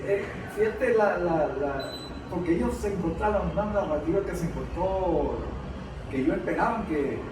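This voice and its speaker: A high male voice with a Venezuelan accent rings in a very reverberant room, with clear noise around it. He speaks haltingly in an informal political discussion, doubling words as he works toward his point. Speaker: political analyst on a panel. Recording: very echoey room, distant mic, noisy.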